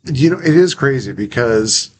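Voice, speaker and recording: A man's voice: mid-range voice, lively intonation, normal speed. A man hosting an interview, chatting in a sympathetic, conversational way. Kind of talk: casual interview talk. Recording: clear background noise.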